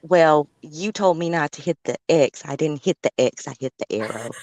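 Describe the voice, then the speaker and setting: A woman's voice: low-pitched, animated, moderate pace, a little mellow, no room sound. A middle-aged woman talking as a guest on a stream from a parked car, playful and teasing. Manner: playful, teasing, laughs easily. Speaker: guest, host's mother.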